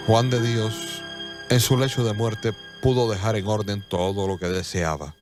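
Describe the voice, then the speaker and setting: A man narrating a scripted radio drama in a solemn, measured storytelling manner. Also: on the low side, steady tone. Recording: clear music bed behind.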